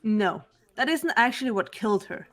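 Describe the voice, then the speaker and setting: A woman voicing a calm, analytical teenage girl detective in a fan-voiced game. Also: on the high side, faint music behind, lightly acted. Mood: composed. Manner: calm, measured.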